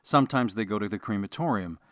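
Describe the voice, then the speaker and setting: A man's voice: lowish voice, expressive, fast talker, no echo, natural voice. Mild male mortuary doctor acted by a man, dry sound. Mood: troubled. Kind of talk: modern audio drama acting.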